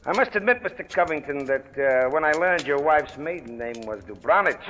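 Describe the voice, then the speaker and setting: A man's voice: on the high side, lively intonation, normal speed, a bit bright. A man playing a shrewd, sardonic police captain, probing drily in 1940s radio drama style. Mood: sly, sardonic. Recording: reverberant, noisy old radio recording.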